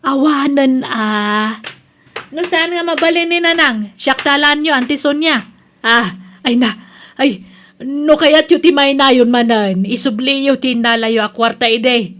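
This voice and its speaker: A woman plays a feisty daughter-in-law in an Ilocano comedy radio drama. She speaks expressively in a fairly high voice, with ready exclamations. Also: a little slow, fairly bright tone. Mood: indignant.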